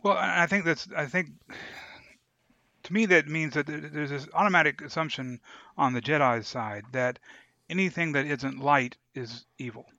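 Bright-voiced man, dry slightly dull recording. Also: on the high side, moderate pace, dry recording. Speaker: podcast co-host. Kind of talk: casual discussion.

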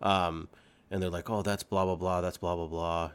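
A man co-hosting a podcast, chatting casually in an excitable, rambling way. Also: low voice, normal speed, clean tone. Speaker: podcast co-host. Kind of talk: casual group chat.

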